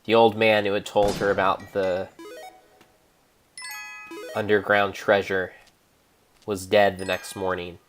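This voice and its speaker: A man narrating an improvised story in a theatrical, measured way, pausing between phrases. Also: fairly low pitch, little pitch movement, faint music behind. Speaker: streamer, narrator.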